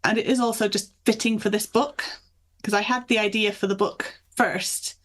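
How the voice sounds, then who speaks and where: A woman's voice: mid-range voice, steady tone, normal speed, a bit bright, low-quality recording. A woman from the north of England and Michigan, chatting in an anecdotal, conversational way as an author being interviewed. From north of England and Michigan, USA. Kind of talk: casual interview chat.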